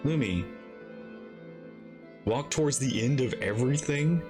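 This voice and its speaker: A man's voice: mid-range voice, expressive, unhurried, over music. A man giving casual reaction commentary as the host of a video.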